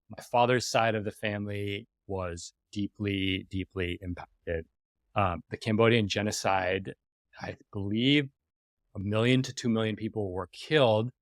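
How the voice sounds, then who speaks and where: A man in his forties from New York City, hosting a podcast and telling a personal story in an animated way, restarting his sentences and jumping to sudden emphasis. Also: on the low side, no echo, clean studio sound. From New York City, USA. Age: 40s. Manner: animated, self-interrupting, with frequent restarts and sudden emphatic jumps. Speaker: podcast host. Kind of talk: personal reflective monologue.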